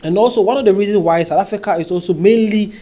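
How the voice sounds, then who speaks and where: A man's voice: high-pitched, fast talker, warm tone. A man talking conversationally as he reads social media comments aloud and reacts to them, with frequent fillers and check-ins like "okay?". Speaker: commentary vlogger.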